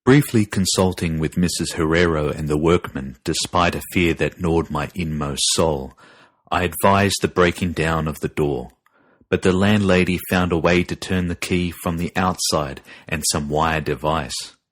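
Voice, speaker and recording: A man narrating a scripted horror story in a grave, deliberate and ominous manner. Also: deep voice, no room sound. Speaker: narrator and podcast host.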